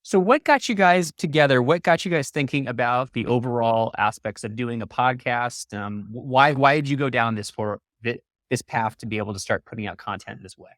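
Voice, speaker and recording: A man's voice: mid-range voice, fairly quick, few pauses, fairly bright tone, no room sound, clean studio sound. A man hosting an interview podcast, talking in a wordy, enthusiastic way. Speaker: podcast host. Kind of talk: upbeat hosted interview talk.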